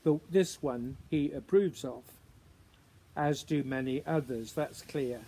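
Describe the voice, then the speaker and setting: A man who is a writer reading his poem aloud, in a measured, musing way with deliberate pauses between short phrases. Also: mid-range voice, mellow, a little hiss or hum, low-quality recording. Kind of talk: introduced poetry reading, measured recitation.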